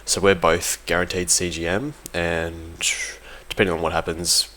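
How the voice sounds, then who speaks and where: Low, flat-toned young-sounding gamer guy, bright edge. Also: normal speed, a little hiss or hum. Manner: hesitant, informal, self-correcting. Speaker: competitive gaming team member giving an audio log. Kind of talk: casual unscripted commentary.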